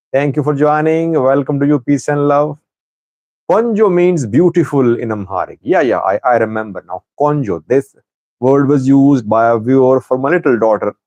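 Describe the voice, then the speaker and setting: A man whose first language is Urdu hosts a live-stream news chat, greeting viewers and pausing often with fillers. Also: medium pitch, darkish tone, no room sound, muffled recording. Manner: unhurried, halting with many fillers. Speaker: news channel host.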